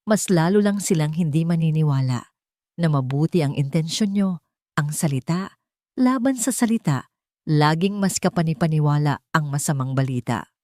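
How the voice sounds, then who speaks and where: A woman narrator voicing a shrewd, sensible older mother in an audio drama, measured and cautioning. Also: deep voice, animated, mellow, no room sound, studio quality. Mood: sceptical, cautioning.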